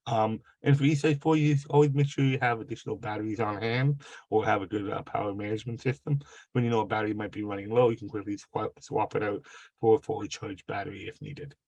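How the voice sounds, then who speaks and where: A man with a cold and a gravelly voice hosting a live online workshop over a video call, halting and full of fillers and restarts. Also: fairly low pitch, fairly even intonation, darkish tone, no room sound, lo-fi sound. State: has a cold. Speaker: webinar host.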